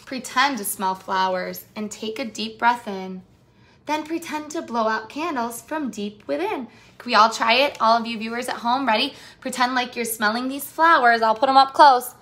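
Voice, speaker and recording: A woman with a bright, fairly high voice hosts a read-aloud lesson for young children. She speaks straight to her young audience in an encouraging way, asking questions and stretching out the storybook phrases expressively. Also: moderate pace. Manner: encouraging, addresses young viewers directly with questions. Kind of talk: picture-book read-aloud and talk to children.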